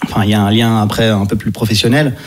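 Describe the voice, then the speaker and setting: A man's voice: fairly low pitch, fairly even intonation, quick pace. A man in his thirties from Italy, speaking as an interview guest in a casual, meandering way and often rephrasing as they go. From Italy, born to French parents. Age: 30s. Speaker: interview guest, actor and stage director.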